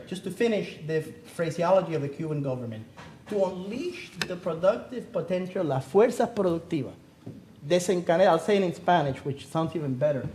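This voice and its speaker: A middle-aged man born in Cuba speaks at a seminar in a theater, earnest and emphatic. His voice sits a little high for a man, with a dark, mellow tone. Faint room ambience hangs behind him. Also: a little hiss or hum. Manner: earnest, emphatic, rhetorical questions. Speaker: panelist speaking on the economy. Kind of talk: academic seminar talk.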